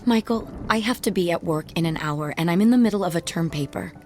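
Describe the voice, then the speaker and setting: An actress playing a sharp-tongued, wounded ex-wife in a radio drama, guarded and full of biting, sarcastic retorts. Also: female voice, on the low side, fast talker, soft background music, close to an everyday voice. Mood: curt, guarded.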